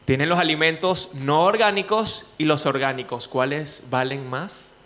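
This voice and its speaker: Bright-voiced Venezuelan man coaching students. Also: on the high side, normal speed. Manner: animated, asks frequent rhetorical questions. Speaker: journalist and speaking coach, guest. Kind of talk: lively talk to students.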